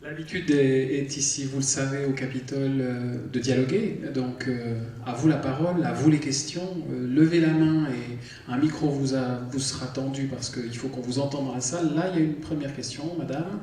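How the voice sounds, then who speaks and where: A man's voice: mid-range voice, fairly even intonation, moderate pace, warm tone, recorded from a distance. A man moderating a film Q&A, who politely guides the audience and passes the microphone. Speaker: moderator of the screening debate. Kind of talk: formal event moderation. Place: cinema auditorium. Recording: echoey cinema hall, heard from afar.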